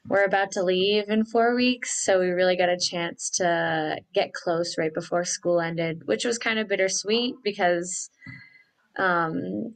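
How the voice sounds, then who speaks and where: A teenage girl from Massachusetts, a drama student, speaking in a relaxed, chatty way. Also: fairly low pitch. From Melrose, Massachusetts. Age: late teens.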